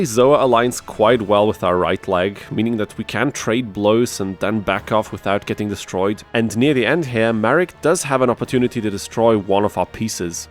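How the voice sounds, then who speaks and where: A man hosting a gaming video, narrating a challenge run from a script with conversational, self-deprecating asides. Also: lowish voice. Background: music under the voice.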